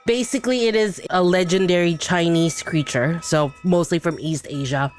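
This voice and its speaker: A woman's voice: on the low side, normal speed, soft background music. A woman from Brunei chatting casually as a podcast guest. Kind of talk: casual discussion.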